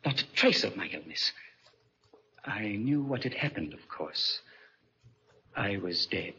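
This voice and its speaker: A man's voice: on the low side, very animated, a little slow, bright, forceful tone, breathy edge, heavily acted. An actor in a 1940s radio drama playing a desperate, dying young man who foresees the future, speaking earnestly and dramatically. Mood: haunted, grave. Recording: muffled, vintage 1940s radio recording.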